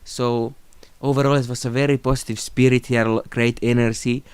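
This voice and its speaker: A young Finnish man speaks calmly and reflectively into a close phone or webcam in a small room. His voice is smooth and clear, at a middle pitch. The sound is dry, with no room echo. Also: a little fast. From Finland. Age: young adult. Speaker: video creator. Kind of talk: unscripted tribute talk to camera.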